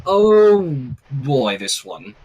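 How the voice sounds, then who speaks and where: A man hosting a stream, reading fan fiction aloud in an animated style with casual asides. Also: a little high, extremely slow, muffled recording.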